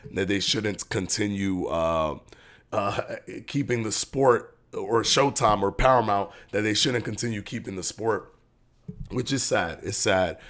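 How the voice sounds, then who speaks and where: A man hosting a live boxing stream, chatting casually, rambling and restarting his phrases while reading out chat comments. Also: on the low side.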